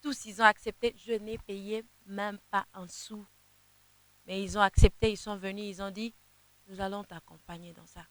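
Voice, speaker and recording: Halting young woman gospel singer, smooth voice. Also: mid-range voice, flat delivery, no echo, poor audio. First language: Kinande. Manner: halting, repeats words while searching; sings softly in places. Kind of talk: interview answers.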